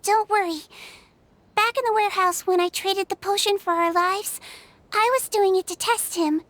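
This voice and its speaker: A woman voicing a calm, kind female head nurse who speaks softly and in measured sentences. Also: very high voice, no echo. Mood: reassuring. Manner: soft, soothing, measured.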